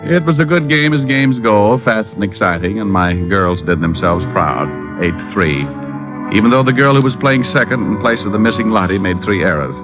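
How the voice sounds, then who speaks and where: An actor playing a wry, stubborn private detective with an American accent, deadpan and wisecracking in 1940s radio drama style. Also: male voice, fairly low pitch, moderate pace, music in the background, close to an everyday voice. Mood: wry narrating. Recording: narrow-band old radio drama recording.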